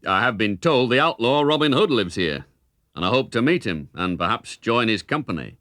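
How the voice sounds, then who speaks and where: A man's voice: medium pitch, expressive, normal speed, fairly bright tone, no room sound, studio quality, close to an everyday voice. A man voicing a massive older fighter in a children's storybook, blunt, hearty and commanding. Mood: affable, earnest.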